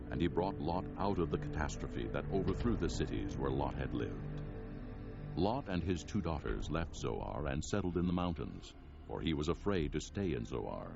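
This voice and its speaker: A man's voice: extremely low pitch, gravelly, lo-fi sound. A man narrating a Bible dramatization in a solemn storytelling style.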